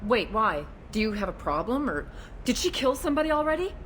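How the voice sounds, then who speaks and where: Wary female alien cop for a sci-fi game. Frank, a bit exasperated, over noisy rumble. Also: big pitch swings, brisk, fairly bright tone. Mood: suspicious. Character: wary, plain-spoken female alien police officer. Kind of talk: video game acting.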